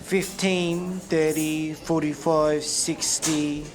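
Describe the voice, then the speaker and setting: A tavern barman in a fantasy audio drama who talks to customers in a blunt, businesslike way. His delivery is plain and steady, at a middle pitch. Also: very slow, over music. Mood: gruff. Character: gruff tavern barman, adult.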